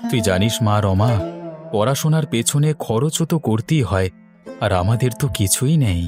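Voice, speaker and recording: A man's voice: mid-range voice, lively intonation, moderate pace, natural voice. A man voicing a poor, despairing village father in a melodramatic cartoon, plaintive and bitter. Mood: weary, bitter. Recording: music behind the voice. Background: background music.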